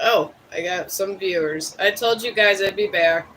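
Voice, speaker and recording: A man in his fifties from Ohio, talking to his live stream viewers in a high, bright voice. He rambles and muses, pokes fun at himself, and sounds a bit high. A faint hum of room noise sits under him. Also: low-quality recording. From Cleveland, Ohio. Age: 50s. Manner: rambling, musing, self-deprecating.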